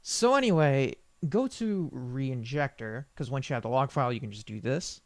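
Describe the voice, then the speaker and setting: A man presenting an unscripted software tutorial in an informal, rambling way, often correcting himself as he goes. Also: mid-range voice, animated, moderate pace, dry recording.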